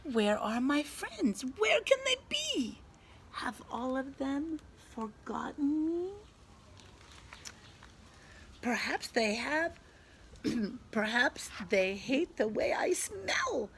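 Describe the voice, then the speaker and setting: A woman voicing an excitable, fretful female skunk in a children's storybook, calling out and exclaiming. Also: high-pitched, very slow, pauses often, a little hiss or hum, voice pushed up, heavily acted. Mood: worried, hurt. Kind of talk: children's storybook read-aloud.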